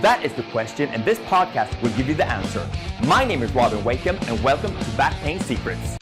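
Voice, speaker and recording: A man's voice: medium pitch, very animated, brisk. Man reading an intro over guitar. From Sweden. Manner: poses questions, then answers them. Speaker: podcast host. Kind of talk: scripted show intro. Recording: guitar music behind voice.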